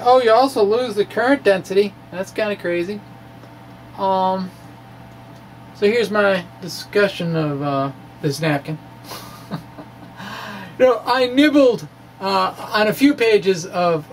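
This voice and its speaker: A man giving an informal, halting, wry reading of his physics blog, often pausing and amused at his own asides. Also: sits high. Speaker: amateur physics blogger. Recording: noisy room recording.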